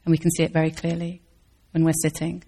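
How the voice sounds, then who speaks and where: Woman Zen teacher, low flat voice with husky fry, thoughtful and searching answers. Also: fast talker. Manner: thoughtful, searching, with restarts and soft laughs. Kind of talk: dharma talk Q&A answers.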